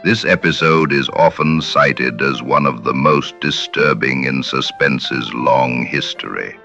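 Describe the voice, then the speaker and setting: A man narrates in a very deep voice, slow and deliberate. His tone carries a crisp, bright edge. Faint music sits beneath a slightly dull recording. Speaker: podcast host. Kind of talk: scripted narration.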